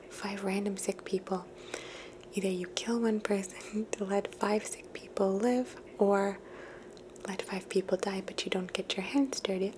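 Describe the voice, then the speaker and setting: A woman hosting an ASMR video, talking in a soft, unvoiced whisper and musing thoughtfully as she answers viewers' questions. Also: medium pitch, noisy background. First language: English. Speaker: ASMR host.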